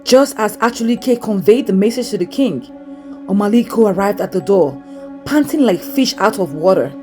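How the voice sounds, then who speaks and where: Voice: medium pitch, lively intonation, normal speed, a little mellow, faint music behind. A narrator telling a scripted folktale in a solemn, theatrical way.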